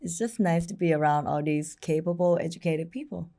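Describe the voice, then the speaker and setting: A woman's voice: on the low side, a little fast, mellow. A woman in her twenties with a Thai accent, answering casually as an interview guest, candid and playful. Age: 20s.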